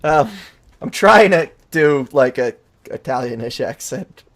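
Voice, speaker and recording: A man's voice: mid-range voice, lively intonation, measured pace, fairly bright tone. A man chatting casually as he breaks from a comic fandub reading. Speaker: fandub cast reader.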